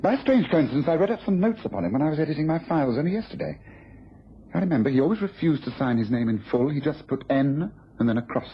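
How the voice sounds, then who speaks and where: An actor playing a shrewd, self-assured English detective in a British radio drama, speaking briskly, precisely and knowingly. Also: male voice, on the high side, sing-song, breathy edge, full character voice. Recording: dull old radio recording, faint noise.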